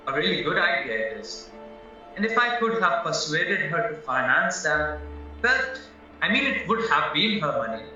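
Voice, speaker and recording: A man's voice: high voice, pushed and bright, exaggerated voice. A man acting a frank, flustered young married murder suspect in an audio play, hesitant and earnest as he protests. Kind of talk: stage-style courtroom audio drama acting. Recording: echoey, distant, dull, music behind.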